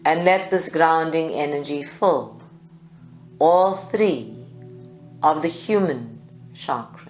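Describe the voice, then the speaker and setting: A woman leads a guided meditation in short, measured phrases with long pauses. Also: sits low, a little mellow. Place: live group session indoors at a creativity centre. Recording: echoey room, music behind. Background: meditation music.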